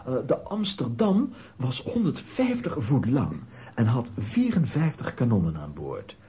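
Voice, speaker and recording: An actor playing an earnest professor in a children's audio play, lecturing with slight hesitation. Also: male voice, medium pitch, wildly swooping pitch, measured pace, warm tone, breathy edge, full character voice. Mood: explaining. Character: learned male professor, earnest and explanatory.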